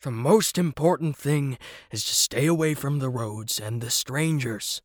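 A man's voice: medium pitch, a little slow, dry recording, natural voice. Man playing a sensible, reserved storybook toy animal, dry sound. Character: reserved, curious male toy lion and sensible toy owl. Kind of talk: children's audiobook character acting.

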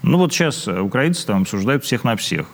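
Lively, challenging male interviewer. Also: medium pitch, brisk, in a large hall. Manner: challenging, sardonic questioning. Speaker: interviewer, show host. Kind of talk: interview conversation.

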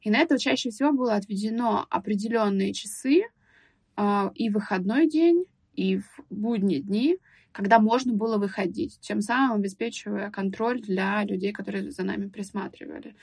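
A young woman guest on a podcast, recalling her school years in a thoughtful, hesitant way with many pauses and restarts. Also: medium pitch, gravelly, a little mellow, slightly rough, no echo. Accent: Russian speaker living in England. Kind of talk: casual podcast interview.

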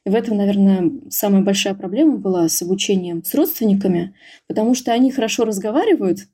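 A young woman with a soft, breathy voice and a mellow, warm tone. She talks candidly and chattily as a podcast guest, telling her story in an easygoing, amused way. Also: medium pitch, quick pace. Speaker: podcast guest, Tatar language learner. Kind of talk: casual interview talk.

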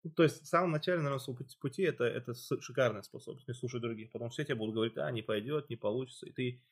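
Husky man explaining casually. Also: medium pitch, racing. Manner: loose, explanatory, many fillers. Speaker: podcast host. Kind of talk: casual chat.

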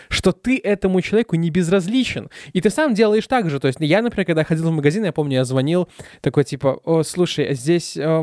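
Young male podcast co-host, animated storyteller, slightly high voice, dry close mic. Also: dry recording. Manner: lively, animated storytelling with laughs. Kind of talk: casual podcast chat with sponsor read.

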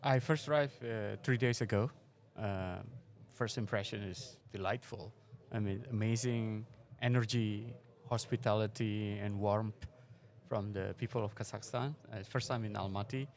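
A man's voice: lowish voice, steady tone, normal speed, a little mellow. A middle-aged man from Indonesia, a venture investor and interview guest, answering with frequent fillers and phrasing. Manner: hesitant, many fillers, non-native phrasing. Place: conference forum venue.

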